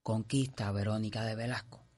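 A man's voice: lowish voice, steady tone, fairly quick, a little mellow, lightly acted. An actor playing a middle-aged, scheming and self-assured father in a radio drama, with a Cuban accent and a commanding manner. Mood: smug, confident. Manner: confident, commanding.

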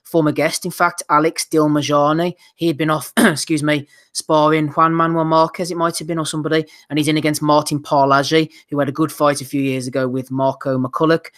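Brisk male podcast host, slightly hoarse. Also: on the high side, little pitch movement, fairly bright tone. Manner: brisk, reads out listener questions. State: losing his voice. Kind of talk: casual boxing chat.

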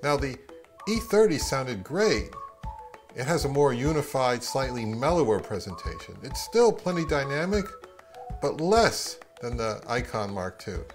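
A man's voice: medium pitch, sing-song, unhurried, soft background music. A middle-aged man, narrating a product review of audio gear.

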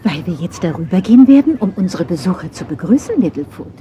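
An actress playing a gentle, caring old grandmother dinosaur in a children's cartoon audio drama. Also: on the low side, sing-song, fast talker, slight background noise.

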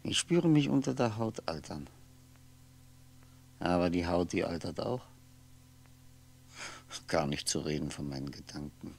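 Lonely aging man, very gravelly, very slow, halting night-time brooding, old radio play. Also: low voice, expressive, a little mellow, heavily acted. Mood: melancholy, searching. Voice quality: gravelly, often sinking into breathy whisper. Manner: halting, brooding, fragmented musing with pauses. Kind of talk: 1960s radio drama monologue. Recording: 1960s radio recording, faint noise.

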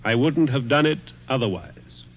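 An actor playing a sly, menacing, miserly rich man in a vintage radio thriller, deliberate and taunting, with hesitant pauses. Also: mid-range voice, lightly acted. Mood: gloating. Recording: muffled old radio drama recording.

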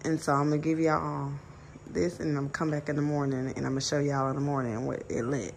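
A woman's voice: low-pitched, little pitch movement, fast talker, vocal fry, darkish tone, a little hiss or hum. A woman in her twenties chatting casually to viewers as a travel vlogger, filming herself on a phone in a villa. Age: 20s. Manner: chatty, addresses viewers as y'all. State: just woken, raspy voice. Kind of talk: casual vlog walkthrough. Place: resort villa bedroom, indoors. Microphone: phone held at arm's length.

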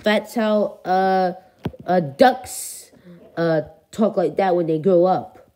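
A woman's voice: lowish voice, dark tone, slight background noise. A woman playing a weary, evasive caretaker who speaks hesitantly in a comedic cartoon skit. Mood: sheepish. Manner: hesitant, halting.

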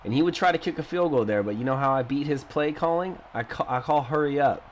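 A young man with a stuffy nose from a cold, giving casual, excitable commentary over his own football video game play. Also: a little high, quick pace, slight background noise. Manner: excitable, trash-talking, frequent groans. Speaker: gaming YouTuber.

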